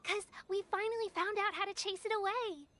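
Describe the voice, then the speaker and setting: A woman's voice: extremely high pitch, fairly even intonation, brisk, pushed and bright, slight background noise. A woman voicing a trusting, plucky young village child in an anime video game. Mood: hopeful.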